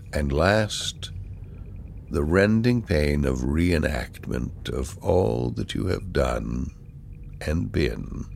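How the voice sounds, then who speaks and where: Very deep-voiced man reciting poetry slowly. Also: vocal fry, a little hiss or hum. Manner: measured, deliberate, with pauses between phrases. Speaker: poetry reader.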